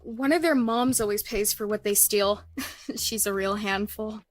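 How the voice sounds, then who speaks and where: A woman's voice: mid-range voice, unhurried, no echo, close to an everyday voice. A woman voicing a nervous, apologetic young female candy shop clerk in a video game, stammering and flustered. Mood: grateful, flustered.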